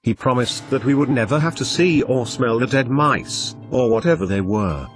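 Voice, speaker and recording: A man reading a scripted first-person horror story as an expressive narrator. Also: mid-range voice, moderate pace. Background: background music.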